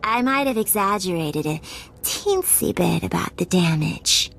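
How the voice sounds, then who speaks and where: A woman's voice: fairly low pitch, lively intonation, unhurried, gravelly, a bit bright, soft background music, full character voice, rough recording. A woman playing a flirty, deceitful, lovesick starship captain in a video game, with a coy, sugary manner. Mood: sweetly coy. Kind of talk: video game cutscene acting.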